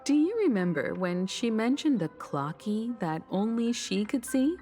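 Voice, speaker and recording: A woman playing a composed, knowing young woman in dramatic video game dialogue, deliberate and explanatory. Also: a little high, expressive, mellow, faint music behind. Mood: knowing, calm. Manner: deliberate, expository.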